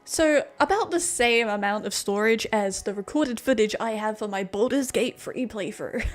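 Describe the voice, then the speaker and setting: A woman streaming a game, chatting casually with a friend in an excitable, jokey, sweary way. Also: on the high side, moderate pace. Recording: music behind the voice. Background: music.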